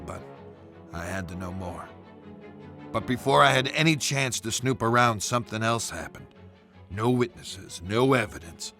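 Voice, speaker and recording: An actor playing a world-weary, suspicious police detective in a video game, speaking in a measured, grave and deliberate way. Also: male voice, lowish voice, pushed and bright. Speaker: detective character and narrator. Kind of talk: dramatic noir-style narration and dialogue.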